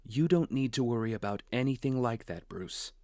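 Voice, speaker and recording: Male actor as steady father, gentle, reassuring, measured, medium pitch, audio drama. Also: no echo, clean studio sound, close to an everyday voice. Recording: music under the voice.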